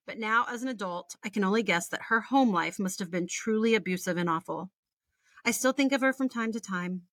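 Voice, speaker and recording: A woman's voice: mid-range voice, fairly bright tone, no echo. An American woman in her forties, a podcast co-host reading a personal story aloud with candid, wry, chatty asides and casual swearing. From Texas, United States. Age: 40s.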